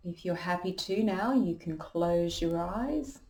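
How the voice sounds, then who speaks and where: A woman leading a guided meditation as a yoga teacher, calm and unhurried, giving gentle instructions. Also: fairly low pitch.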